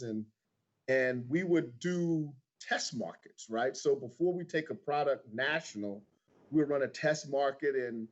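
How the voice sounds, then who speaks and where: A middle-aged man from Houston with an American accent, answering interview questions in an informal, candid way. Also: medium pitch. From Houston, Texas, United States. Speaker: interview guest, insurance agent. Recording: dull lo-fi livestream audio.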